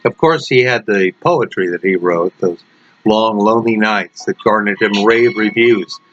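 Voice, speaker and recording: A man reading his own story aloud as a dramatic narration, with dark humour and wry, deliberate phrasing. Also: on the low side, dull audio, slight background noise. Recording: loud music under the voice. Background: prominent background music.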